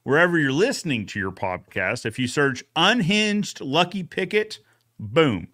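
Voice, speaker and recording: A man's voice: a little high, very animated, measured pace, sharp tone. A man hosting a podcast recap in a casual, folksy, conversational way. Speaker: host of a podcast recap show.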